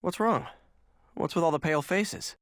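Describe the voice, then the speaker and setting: A man's voice: fairly high pitch, normal speed, a bit bright, no echo, clean studio sound, natural voice. An actor voicing a brusque, guarded young male hero in a game cutscene, speaking curtly. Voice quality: curt, blunt. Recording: game cutscene with music underneath.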